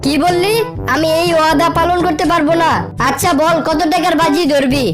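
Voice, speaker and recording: Woman voicing a high, cheeky cartoon boy prankster. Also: fairly even intonation. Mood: cocky. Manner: cheeky, quick comebacks. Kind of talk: scripted cartoon joke skits. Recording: loud music over the voice.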